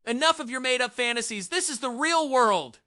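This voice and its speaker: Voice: sits high, lively intonation, brisk, very noisy. A performer voicing a hostile young bully with an aggressive, taunting manner in an amateur audio drama. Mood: sneering, aggressive.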